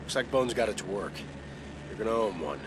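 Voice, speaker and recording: A man's voice: on the low side, expressive, fast, noisy background, natural voice. A man playing a cocky, informal young starship captain in a cinematic video game. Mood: easy, wry. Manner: informal, commanding. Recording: loud music behind the voice.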